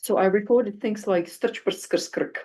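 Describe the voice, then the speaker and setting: A woman moderating an academic round table speaks English. She talks over a video call at a middle pitch and tells stories in an engaged way, dotted with fillers. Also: normal speed. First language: Czech. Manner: lively storytelling with fillers.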